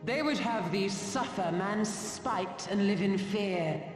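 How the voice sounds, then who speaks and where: An actress voicing a serene, seductive and haughty goddess in a video game, speaking in a grand, archaic, theatrical way. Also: female voice, medium pitch, takes it slowly, natural voice. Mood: serene, alluring. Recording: echoing hall reverb, distant, music behind.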